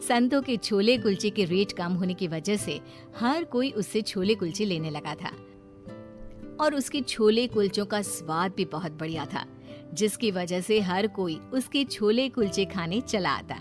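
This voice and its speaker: A woman's voice: mid-range voice, lively intonation, a little slow, faint music behind. Woman narrating a bedtime story.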